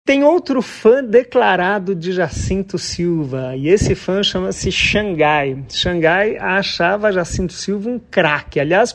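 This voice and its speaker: High-voiced gravelly radio host. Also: male voice. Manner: relaxed, chatty, with asides. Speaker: radio host presenting music. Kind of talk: casual radio presenting.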